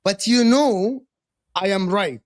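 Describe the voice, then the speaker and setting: High-voiced man arguing football emphatically. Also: slow pace, no echo, studio quality. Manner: emphatic and insistent, repeats points. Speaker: football podcast co-host. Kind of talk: heated casual football debate.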